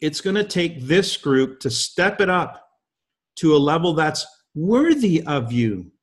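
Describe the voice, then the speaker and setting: A man's voice: on the high side, very animated, a little slow. A man in his fifties, guest-hosting a leadership call, giving a motivational talk full of emphatic rhetorical questions. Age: 50s. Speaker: guest host.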